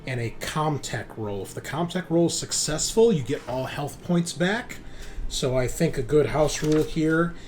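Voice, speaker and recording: A man's voice: on the high side, animated, normal speed, slight background noise. A man acting as game master, explaining things informally in a casual tabletop roleplaying session.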